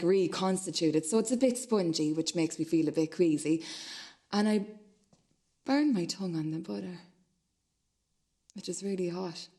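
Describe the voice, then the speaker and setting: An uneasy, obsessive loner acted by a woman rambles hesitantly through a radio play monologue. The voice is low, dark and mellow, with a husky breathiness.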